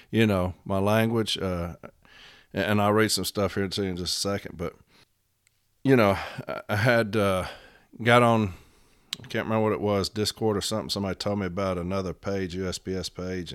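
A middle-aged man, hosting a casual podcast, voice hoarse and worn out, talking bluntly and rambling with many fillers. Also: on the low side, dry recording, clean studio sound. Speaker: podcast host.

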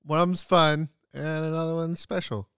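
A man's voice: on the high side, steady tone, normal speed, fairly bright tone, clean tone, no echo, studio quality. A man co-hosting a casual podcast, playful and joking, laughing a lot. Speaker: podcast co-host.

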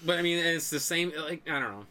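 Male podcast co-host, slightly high pitch, bright edgy tone, informal and sweary chat. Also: animated, fast. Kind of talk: casual chat about games and movies.

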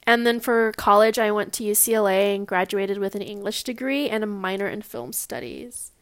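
A woman's voice: mid-range voice, expressive, normal speed, no room sound. A woman in her twenties with a Californian American accent, hosting a casual personal podcast in a candid, informal, slightly nervous manner. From Long Beach, California, US. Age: 20s. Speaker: podcast host.

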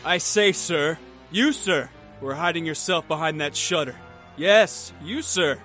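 A woman's voice: low voice, measured pace, fairly bright tone, soft background music. A woman reading an audiobook, voicing a hot-headed young swordsman who speaks in a challenging, exasperated way. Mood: angry challenge. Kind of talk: audiobook character voice.

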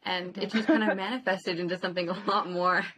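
A woman's voice: fairly low pitch, steady tone, fast, a bit bright, slight background noise. Hesitant teenage woman from Southern California. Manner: hesitant, many ums, youthful. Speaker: band singer. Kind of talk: casual interview chat.

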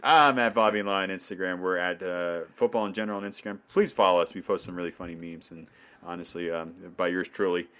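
A man's voice: low-pitched, steady tone, fast, a bit bright, smooth, lo-fi sound. A man with a New England accent co-hosting a casual sports podcast, chatty and laughing often. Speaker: podcast co-host.

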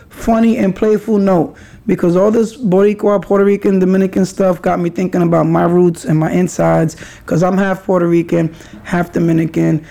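Man, middle-aged, from the Bronx; high, gravelly host voice, casual and hedging. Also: dark tone. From New York, the Bronx. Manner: rambling, hedging, conversational. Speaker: podcast host. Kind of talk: casual solo podcast.

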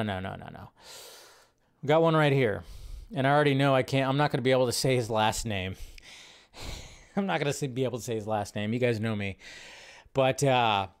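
A man's voice: medium pitch, clean tone. A man hosting a live entertainment news show in casual, rambling commentary, often repeating phrases as he thinks aloud.

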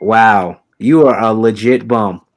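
A man's voice: on the low side, takes it slowly. A man hosting a casual podcast, teasing his co-hosts and laughing often. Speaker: podcast host.

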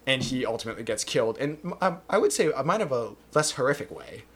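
Male co-host, mid pitch, casual plot summary, rambling, hedgy. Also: lively intonation, quick pace, fairly bright tone, slightly rough. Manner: rambling, hedges with "kind of". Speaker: podcast co-host.

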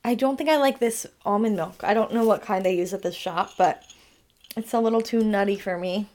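A young woman co-hosting a podcast in relaxed, chatty talk, with "like" in nearly every phrase. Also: medium pitch, fairly quick. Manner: chatty, relaxed, says "like" a lot. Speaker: podcast co-host. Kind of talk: casual chat.

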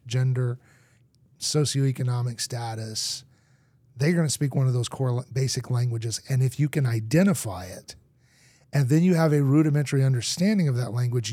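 A man who grew up in Argentina, a podcast guest telling lively stories in conversation. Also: mid-range voice, dry recording. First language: English. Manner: lively storyteller acting out anecdotes. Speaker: guest, marketing executive.

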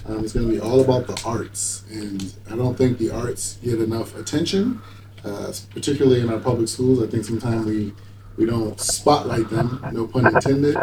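A man's voice: medium pitch, normal speed, darkish tone, slight background noise. A middle-aged man hosting a conversational interview, encouraging and echoing back what his guests say. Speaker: talk show host, school superintendent.

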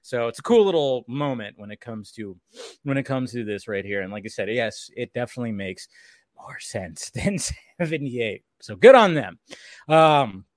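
A man's voice: medium pitch, expressive, normal speed, dry recording. A man giving a casual, rambling recap commentary as a co-host, slipping into conversational quotes as he goes. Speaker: podcast co-host.

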